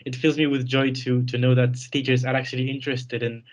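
A man's voice: medium pitch, flat delivery, a little fast. A nineteen-year-old man from Romania giving a webinar talk over a video call, earnest and full of fillers and repeated words. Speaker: guest speaker. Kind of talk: webinar presentation.

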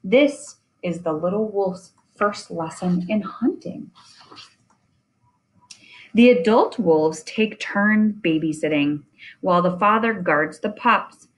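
A woman reading a children's nonfiction picture book aloud in a deliberate way, with chatty asides. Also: mid-range voice, rough recording. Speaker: reader of children's science book.

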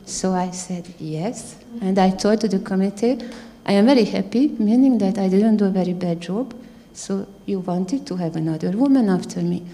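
A low-voiced woman in her fifties speaks on a stage panel through a handheld mic. Her tone is mellow and earnest, and a long hall reverb trails her words. She phrases things haltingly and sounds proud of her work. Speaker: scientist panelist. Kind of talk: panel discussion. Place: theater stage at a panel event.

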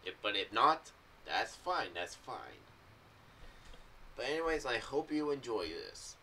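Young American man, casual rambling commentary, halting delivery, distant from mic, faint background noise. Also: medium pitch, big pitch swings, gravelly, pushed and bright. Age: young adult. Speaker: video creator giving theories on an audio drama series. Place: small room indoors. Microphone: boom-arm condenser with pop filter, off to the side.

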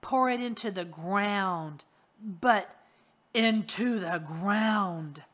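A woman's voice: mid-range voice, drawn-out words, fairly bright tone. A woman voicing an ancient, evil creature that speaks from under rubble in short, cutting commands. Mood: commanding.

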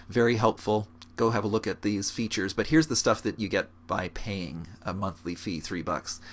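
A man walking through a web app in a relaxed screencast tutorial, explaining step by step with frequent fillers. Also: fairly low pitch. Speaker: game gold-making guide. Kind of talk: screencast walkthrough.

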